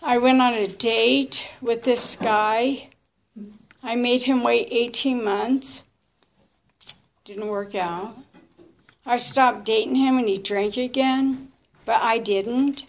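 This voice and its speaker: A woman in her early fifties giving a candid, unscripted personal testimony in short, halting, reflective phrases. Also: a little high, fairly even intonation, gravelly, slight background noise. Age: early 50s. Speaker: recovering alcoholic sharing her story.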